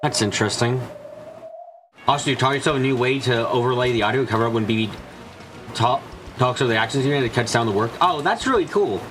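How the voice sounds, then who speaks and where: A man streaming a visual novel, reading aloud and chatting in an animated, rambling way. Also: mid-range voice, fast talker, noisy background. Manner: animated, rambling, swears freely. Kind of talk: live game stream, casual chat and dramatic reading. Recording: music behind the voice. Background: game music.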